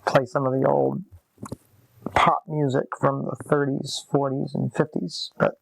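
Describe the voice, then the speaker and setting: A man in his late forties speaks in a scratchy, gravelly voice, taking his time and pausing as he recalls his past. The sound is dry and close, with no room around it. He talks at a middle pitch in a relaxed, conversational way. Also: no room sound. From Massachusetts. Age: 40s. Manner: unhurried, reflective, with pauses. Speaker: interviewee, musician. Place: upstairs room of an apartment, at a desk.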